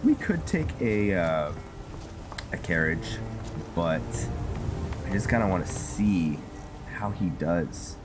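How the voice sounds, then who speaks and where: A man doing casual, rambling gameplay commentary, thinking aloud and pausing mid-sentence as he hosts. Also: sits low, very animated. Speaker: gameplay host narrating a roleplay series. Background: music behind the voice.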